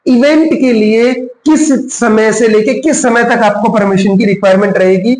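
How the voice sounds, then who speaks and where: High-pitched man, roomy sound. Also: fairly even intonation, normal speed, darkish tone. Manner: explanatory, switches into English terms. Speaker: tutorial host. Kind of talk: casual step-by-step screen tutorial. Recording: roomy, echoing voice recording.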